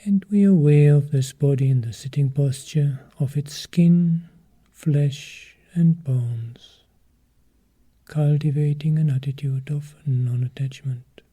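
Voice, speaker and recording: Very slow, dark-voiced man guiding meditation. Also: a little high, slightly rough. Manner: calm, measured, short phrases with long pauses. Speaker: meditation teacher.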